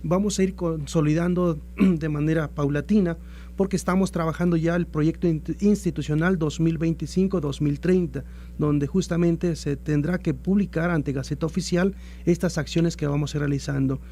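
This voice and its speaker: This is a Mexican man with a rather high but mellow voice. He explains institutional plans formally and earnestly, circling back over his phrases as a guest in an interview. A faint hum sits behind him. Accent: Mexican Spanish.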